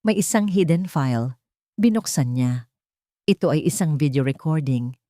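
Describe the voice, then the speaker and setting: A woman's voice: low-pitched, normal speed, warm tone, no room sound, studio quality. A woman reading a scripted, dramatic story as narrator.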